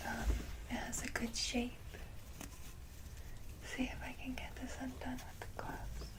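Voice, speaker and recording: Woman, breathy whisper, slow pace, short halting phrases, gentle casual ASMR show-and-tell. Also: sits high, monotone, rough recording. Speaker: ASMR video host.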